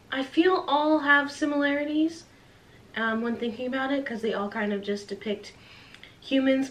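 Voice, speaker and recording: A young woman chatting casually to camera as a book vlogger, playfully dramatic and self-deprecating. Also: a little high. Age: young adult. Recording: roomy, distant mic with faint hiss.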